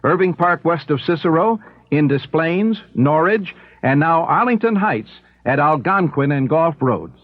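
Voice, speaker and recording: A man's voice: on the high side, animated, unhurried, dry recording. A man working as a radio announcer, reading a scripted advertisement. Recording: dry, slightly dull radio broadcast.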